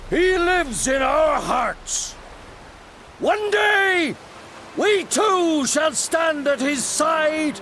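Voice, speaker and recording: An actress playing a solemn clan elder delivering a funeral eulogy in a video game cutscene, declaiming in a ringing voice. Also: female voice, high-pitched, fairly bright tone, full character voice. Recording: score underneath.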